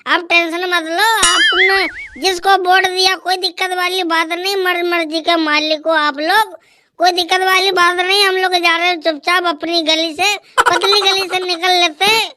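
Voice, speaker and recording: A man voicing a drunken, wheedling husband in a rustic Hindi cartoon skit, his voice squeaky and artificially pitched up, blustering and pleading in repeated bursts. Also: flat delivery, moderate pace, pushed and bright, heavily acted. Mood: apologetic, wheedling. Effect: pitch raised like a cartoon.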